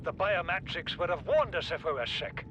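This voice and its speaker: Man acting overbearing middle-aged chief, higher-pitched. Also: lively intonation, moderate pace, lightly acted. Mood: alarmed, defensive. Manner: indignant, authoritative. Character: overbearing, worried father and expedition chief. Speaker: expedition chief. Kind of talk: dramatic game voice acting. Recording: clear rumbling vehicle-like ambient noise.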